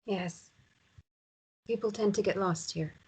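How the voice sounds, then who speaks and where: A woman's voice: mid-range voice, takes it slowly, a little mellow, slightly rough. Woman playing irritable rural mother, harried and grudgingly hospitable, drama table read. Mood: guarded, softening.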